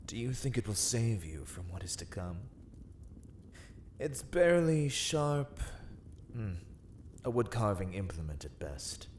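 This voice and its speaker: A man playing a haughty, menacing vampire lord in a dark ASMR roleplay, speaking in formal, archaic, deliberate phrases. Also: on the low side, very animated, a little hiss or hum. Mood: scornful.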